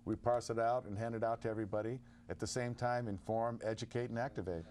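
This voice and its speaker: A man co-hosting a call-in show, explaining in a measured way with many uhs. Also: medium pitch, fairly even intonation, darkish tone. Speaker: co-host. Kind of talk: casual call-in chat.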